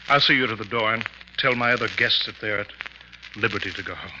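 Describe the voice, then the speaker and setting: An actor playing a grave, theatrical professor with formal, portentous diction in a 1950s radio mystery. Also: male voice, fairly low pitch, fast, close to an everyday voice. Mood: subdued. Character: grave, theatrical professor, feigning grief, spinning occult tales. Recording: muffled, noisy, crackly 1950s radio recording.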